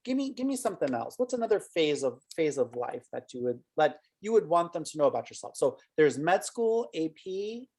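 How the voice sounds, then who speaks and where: A woman's voice: low-pitched, big pitch swings, fairly quick, few pauses, mellow. A woman acting as an interview coach, asking probing questions and thinking aloud as she guides a mock interview.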